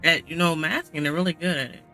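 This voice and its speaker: Very bright-voiced man commenting on a game. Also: fairly high pitch, steady tone, fast, soft background music. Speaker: gaming streamer.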